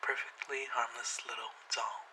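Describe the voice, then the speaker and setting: Man playing a hushed, possessive yandere captor. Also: mid-range voice, flat delivery, moderate pace, breathy edge, low-quality recording. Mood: teasing, smug. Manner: hushed, intimate, often whispering, teasing. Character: obsessive, possessive young male yandere captor, softly menacing. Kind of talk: ASMR roleplay.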